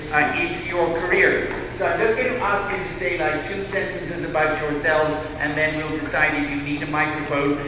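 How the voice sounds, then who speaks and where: Man moderating a panel in an echoey hall. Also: on the high side. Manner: brisk, hurried, with filler hesitations. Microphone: distant microphone. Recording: echoey hall from far off, noisy.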